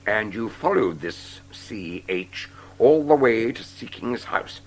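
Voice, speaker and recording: An actor playing an imperious, impatient villain and gang boss in an audio drama, with a gravelly voice and a menacing, questioning manner. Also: male voice, lowish voice, expressive, slow, full character voice. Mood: interrogating.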